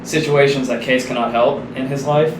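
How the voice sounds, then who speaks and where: A man's voice: medium pitch, fairly even intonation, normal speed. A young man chatting casually with friends on a podcast in a teasing, jokey manner. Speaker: podcast co-host or guest. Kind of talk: casual joking chat with friends. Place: small indoor room. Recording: echoey small room, distant mic, noisy.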